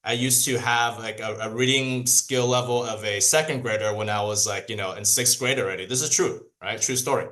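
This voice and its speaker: Man, first language Cantonese, training a room of people, bright voice, repeats key points. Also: fairly low pitch, steady tone, a little fast, few pauses. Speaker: sales trainer. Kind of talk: training talk to a group.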